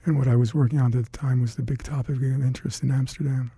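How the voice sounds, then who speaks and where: Older male interviewee whose first language is Hungarian: gravelly, husky, reflective. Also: medium pitch, flat delivery, mellow, no echo. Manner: halting, reflective, many fillers and restarts. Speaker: interviewee, retired scientist. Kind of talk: oral history interview.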